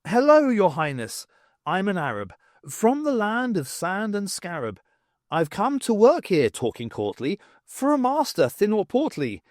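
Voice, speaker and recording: Man as a high-pitched, plucky pantomime boy. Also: huge pitch swings, measured pace, a little mellow, dry recording, clean studio sound, heavily acted. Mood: courtly. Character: plucky woman posing as a pantomime boy, rhyming.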